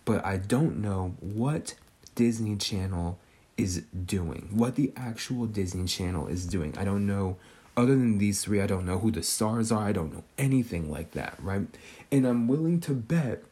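Podcast host, man in his twenties, low mellow voice, loose speculative chatter, ends his lines with "right?". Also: animated, normal speed. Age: 20s. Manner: tags nearly every sentence with "right?". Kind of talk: casual speculative monologue.

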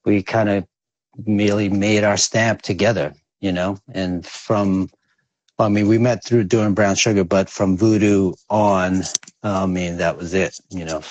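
A man's voice: fairly low pitch, fairly even intonation, unhurried, a little mellow, no room sound, dull audio. A man from the Philippines, raised in New York, talks as a guest in a casual interview in a humble, laid-back way. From born in the Philippines, raised in New York. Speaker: guest, recording engineer.

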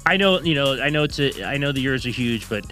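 A man co-hosting a sports talk show, rambling and joking in an animated, casual way. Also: mid-range voice, fast talker, faint music behind.

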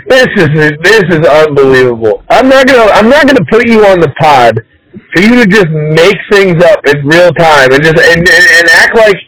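Podcast host guy, pretty high voice, needling his buddy, acting all offended, casual banter. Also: expressive, normal speed, a bit bright, low-quality recording. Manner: goading, mock-indignant teasing.

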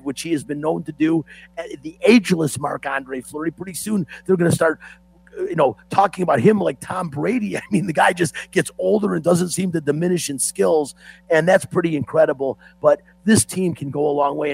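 A hoarse man hosting a sports talk show, opinionated and ranting like a fan. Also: a little high, darkish tone, no echo, low-quality recording.

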